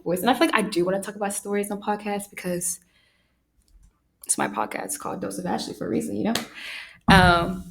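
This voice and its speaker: A young woman hosting her own podcast, talking through personal thoughts in a soft, soothing voice, pausing often and using many fillers. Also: mid-range voice. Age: young adult. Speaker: podcast host. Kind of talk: reflective personal monologue.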